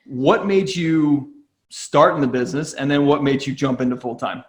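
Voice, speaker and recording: A man's voice: medium pitch, steady tone, moderate pace. A man filling in as podcast host, introducing and interviewing a guest in an upbeat way.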